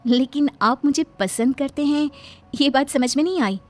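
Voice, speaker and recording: A woman's voice: high voice, normal speed, a little mellow, pitched above the performer's own voice, natural voice. A woman voicing a proud, wary young village dancer in a storybook tale, sceptical and challenging. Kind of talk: storybook character dialogue. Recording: background music under the voice.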